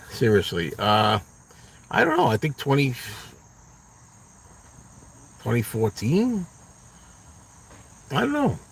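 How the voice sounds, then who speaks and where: A man's voice: medium pitch, wildly swooping pitch, slow, creaky, pauses often, slightly rough, low-quality recording. A middle-aged man with a Brooklyn accent, chatting casually from his car and answering viewers in a rambling, self-deprecating way. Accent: New York, Brooklyn. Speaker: live stream host. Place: parked car.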